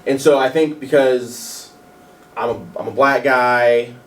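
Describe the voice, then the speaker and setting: A man with a deep voice, talking candidly on a radio panel, hesitant and full of "like" and restarts. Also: fairly even intonation, takes it slowly, fairly bright tone, distant mic. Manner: hesitant, many fillers and restarts. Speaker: panel guest. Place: radio studio.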